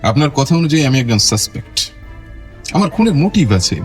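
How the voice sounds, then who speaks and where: A proud ageing-idol type of film star played by a male actor in an audio drama. He speaks in a measured, self-assured way with clipped irritation held in check. Music plays under the voice. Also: medium pitch, big pitch swings, lightly acted. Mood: coolly defiant. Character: proud veteran film star, a controlled, irritated murder suspect. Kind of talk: Bengali audio drama acting.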